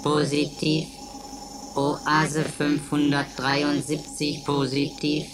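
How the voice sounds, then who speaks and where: An actor voicing an impassive computer in a science fiction radio play, clipped and robotic. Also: male voice, fairly high pitch, monotone, slow pace, a crowd in the background. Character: impassive checkpoint computer announcing identity checks. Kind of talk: German radio play acting.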